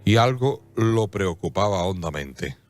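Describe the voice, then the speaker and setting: A man narrates a scripted radio drama with a fairly low voice. His storytelling is solemn and measured, set over soft music. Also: lively intonation.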